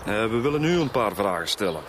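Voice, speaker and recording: Lively Flemish police inspector. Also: male voice, lowish voice, fast talker, background noise. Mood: businesslike. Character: polite, inquisitive male police inspector, junior partner. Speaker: actor in a radio serial. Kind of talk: naturalistic radio drama acting.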